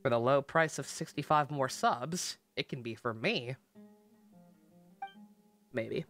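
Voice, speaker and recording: A woman's voice: extremely low pitch, slow pace. Sarcastic woman streamer ranting over music. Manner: animated ranting, sarcastic, swears freely. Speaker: game streamer. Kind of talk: casual live-stream commentary. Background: game music.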